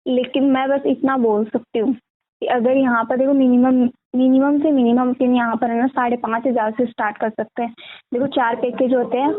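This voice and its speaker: A woman explaining a sales pitch on a phone call, often asking for agreement. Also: fairly even intonation, moderate pace. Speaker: network marketing recruiter. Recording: tinny phone call recording.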